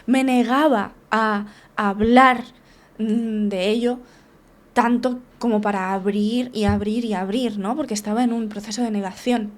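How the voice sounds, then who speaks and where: A woman's voice: a little high, fairly even intonation, unhurried. Female guest, therapist; frank personal storytelling, restart-prone, tag questions.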